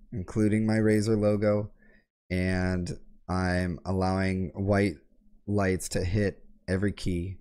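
A young man with a sore throat, clearing his throat now and then as he casually walks through a keyboard lighting tutorial from his home gaming room on a close boom mic. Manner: relaxed explaining, frequent throat clearing. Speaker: tech tutorial host. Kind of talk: casual screen-share tutorial. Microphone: boom mic close to face, headset on.

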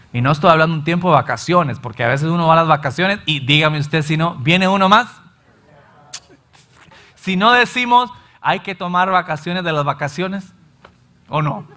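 Hombre predicador salvadoreño, voz aguda y brillante. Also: male voice, on the high side. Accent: Salvadoran Spanish. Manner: animated, gestures widely, acts out listeners' complaints. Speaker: pastor. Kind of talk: sermon. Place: church stage in front of a large screen.

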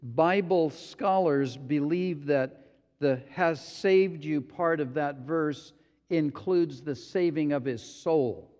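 A male pastor preaching a sermon in a slow, deliberate way, sometimes acting out quotes. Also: on the high side, darkish tone. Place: church sanctuary. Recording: long hall reverb.

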